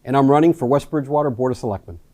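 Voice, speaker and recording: A man in his forties from Miami, a retired Marine, introducing himself as a local election candidate in an earnest, halting way with many ums and restarts. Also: mid-range voice, warm tone, dry recording. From Miami, USA. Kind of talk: candidate introduction, casual speech. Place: local access studio.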